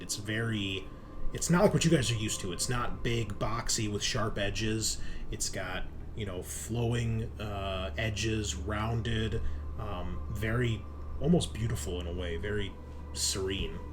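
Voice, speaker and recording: Slow-talking man narrating a tabletop game. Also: on the low side, a little hiss or hum. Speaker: game master.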